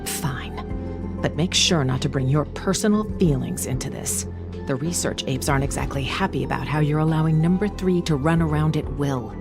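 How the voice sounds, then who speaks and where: An actress playing a cold, zealous research scientist in a video game, formal and condescending like a practiced orator. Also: female voice, sits low, normal speed, gravelly, over music. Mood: cold, clinical. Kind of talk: scripted video game voice acting.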